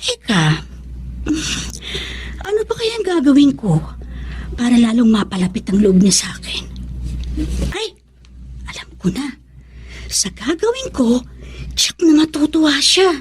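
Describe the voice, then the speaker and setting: High, lively scheming college girl. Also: unhurried, pauses often. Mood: smug, scheming. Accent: Filipino. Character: chummy, scheming rich young Filipina college student. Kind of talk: Filipino radio drama acting.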